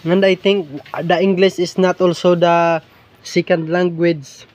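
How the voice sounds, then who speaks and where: Filipino-accented man, Hiligaynon first language, high voice, halting repeated phrasing, faint outdoor traffic noise. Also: level tone, darkish tone. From Philippines. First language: Ilonggo (Hiligaynon). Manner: halting, repeats words, searches for English. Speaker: vlogger filming larvae in a field. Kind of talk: rambling improvised narration over nature footage.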